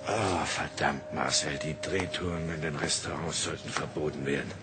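An actor playing a gruff, ragged old Parisian tramp with a wistful side, in a halting, grumbling radio drama manner. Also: male voice, extremely low pitch, steady tone, over loud music. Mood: gruff, irritated. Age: older. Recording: clearly noisy background ambience.

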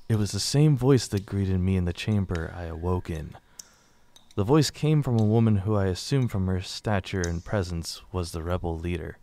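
Low voiced guy narrating a radio drama, serious and measured, scripted. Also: warm tone.

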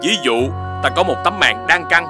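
Actor as male cartoon dog, clever stern father, didactic, animated delivery, mid pitch. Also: normal speed, music in the background, natural voice. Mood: explaining. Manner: didactic, explanatory. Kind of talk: children's cartoon dubbing.

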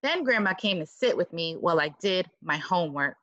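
A woman reading a picture book aloud to children like a teacher, dramatic and expressive, with exclaiming asides. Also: fairly low pitch, fairly quick, lo-fi sound.

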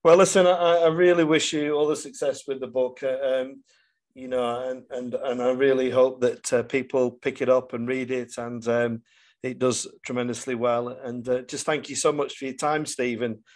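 A man with a mid-pitched voice hosts a casual interview. He speaks appreciatively and a little haltingly, in a conversational style. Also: flat delivery, darkish tone. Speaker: podcast host, interviewer.

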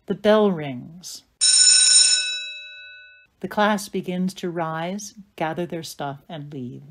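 A woman narrates stage directions from a script. Her pace is slow and unhurried. Her voice sits a little low for a woman.

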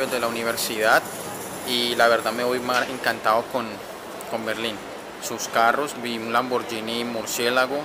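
A young man with a Colombian accent, vlogging his travels to camera in a chatty, enthusiastic way. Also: medium pitch. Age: young adult. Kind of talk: casual travel vlog to camera. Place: outdoors at a stadium and a bus station. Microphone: phone or camera held at arm's length. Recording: outdoor city noise, traffic. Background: loud urban ambience and vehicles.

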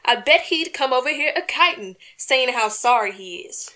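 A woman playing a patient, placating wife in an old-time radio comedy, soothing and gently pleading. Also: sits high, lively intonation, normal speed. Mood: reassuring. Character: patient, placating wife smoothing over quarrels.

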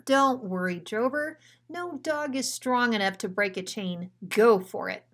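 A woman's voice: fairly high pitch, measured pace, fairly bright tone. A woman voicing a self-assured male dog who leads in a children's story, emphatic and commanding. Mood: cocky, commanding. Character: cocky, bossy male dog, storybook leader.

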